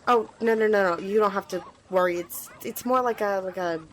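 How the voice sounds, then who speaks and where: A woman's voice: mid-range voice, fairly quick, close to an everyday voice, rough recording. A woman playing an eager, earnest, slightly nervous magical squire in an ASMR roleplay, talking to the listener in a hesitant, rambling, reassuring way. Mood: amused, flustered.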